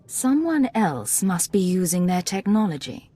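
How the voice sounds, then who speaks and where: A woman's voice: lowish voice, sing-song, measured pace, mellow. A woman voicing a cool, self-assured secret agent in a video game, terse and controlled. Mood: cool, determined.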